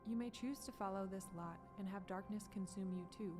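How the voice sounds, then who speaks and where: A woman playing a grave, luminous female spirit in a fantasy audio drama, speaking in formal, measured proclamations. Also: medium pitch, music in the background, natural voice. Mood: solemn, challenging. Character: solemn luminous female spirit offering hope.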